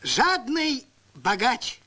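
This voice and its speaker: A man's voice: very high voice, drawn-out words, full character voice. A man narrating a rhyming cartoon tale theatrically, acting out bits of dialogue. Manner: theatrical, expressive. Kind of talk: storytelling narration in rhyme with acted dialogue.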